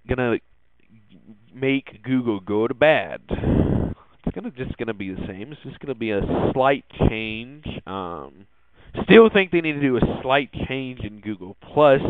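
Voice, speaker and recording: Halting man on a tinny, phone-like line. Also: on the low side, no room sound. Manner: halting, hesitant delivery with repeated words. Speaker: podcast host. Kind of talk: casual tech and gaming news commentary. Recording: tinny, phone-like narrowband sound.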